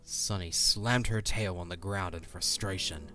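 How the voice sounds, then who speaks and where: Low-pitched male storytelling narrator. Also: measured pace, sharp tone, soft background music. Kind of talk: scripted audio drama narration.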